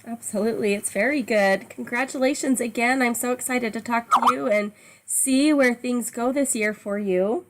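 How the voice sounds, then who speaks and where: A woman's voice: a little high, normal speed, background noise. A woman interviewer asking questions, encouraging and upbeat. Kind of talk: casual phone interview.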